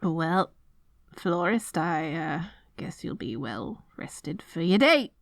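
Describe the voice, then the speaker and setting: A woman's voice: low-pitched, sing-song, takes it slowly, gravelly, no echo, studio quality, full character voice. A woman playing a dorky, lovestruck evil queen in an ASMR roleplay, stammering and flustered between pompous villainous proclamations. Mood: awkward, eager. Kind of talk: ASMR roleplay audio drama.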